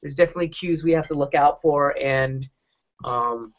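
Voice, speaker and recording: A middle-aged man hosting a podcast, telling personal stories casually in a halting, candid, rambling way. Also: on the high side. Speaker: podcast host, dog advocate. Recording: dull lo-fi podcast audio.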